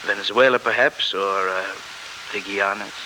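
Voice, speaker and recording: A noisy old radio recording carries a lowish-pitched villain , acted by a man. He is a suave smuggler who speaks with genteel hesitations and smooth, polite menace, in 1940s radio drama style. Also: fairly even intonation, normal speed, close to an everyday voice.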